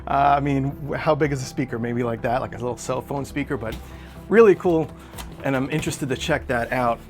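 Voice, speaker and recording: A man's voice: mid-range voice, brisk, a little mellow, soft background music. A man hosting an unboxing video, chatting casually and enthusiastically in an unscripted product review style. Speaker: collectibles unboxing video host.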